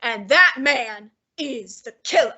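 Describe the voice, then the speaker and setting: Girl doing a pompous genius detective guy, high bright slightly breathy voice, talks all precise and lofty. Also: expressive, muffled recording, full character voice. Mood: deducing. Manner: precise, lofty, measured diction. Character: portly, pompous male genius detective, unflappable. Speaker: student actor. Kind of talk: student radio drama.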